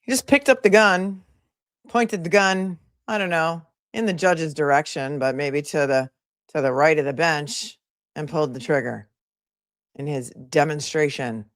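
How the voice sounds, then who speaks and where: Low-pitched woman giving skeptical live commentary. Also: unhurried, no room sound, studio quality. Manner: opinionated, skeptical, conversational asides. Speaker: legal commentary livestream host. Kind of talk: casual live trial commentary.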